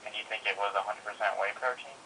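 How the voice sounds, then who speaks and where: A man's voice: on the low side, steady tone, fast, gravelly, bright, forceful tone. A man working as a customer service coordinator on the phone, methodically asking questions and repeating answers back. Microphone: telephone. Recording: slightly noisy telephone line.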